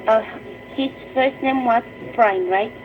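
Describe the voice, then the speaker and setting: A woman's voice: a little high, slow pace, faint music behind. A woman working as a phone call agent, asking questions to check details. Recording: tinny, noisy phone line.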